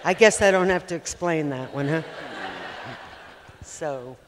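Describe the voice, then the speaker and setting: A man's voice: a little high, measured pace, a little hiss or hum. Man joking to a hall audience. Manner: joking, chatty interjections. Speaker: family member moderating the talk. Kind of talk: casual asides to an audience.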